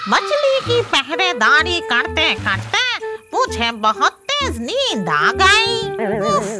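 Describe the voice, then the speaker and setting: A woman voicing a witch in a children's cartoon, with dramatic, exclaiming, drawn-out delivery over music. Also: very high voice, full character voice. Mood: sleepy. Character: green-skinned witch woman guarding her fish pond, indignant. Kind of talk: Hindi children's cartoon dubbing. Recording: loud background music.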